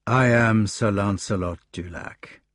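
A man's voice: medium pitch, sing-song, measured pace, no echo, clean studio sound. A man voicing a weary, stoical storybook knight who speaks in terse, understated phrases. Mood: subdued. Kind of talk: storybook character voice.

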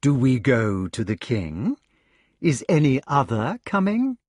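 A man's voice: mid-range voice, huge pitch swings, slow, darkish tone, no echo, studio quality, full character voice. A man voicing a cold, sardonic, bitterly defiant humpbacked knight in a storybook reading. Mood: icy, mocking. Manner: icy, sardonic, nagging.

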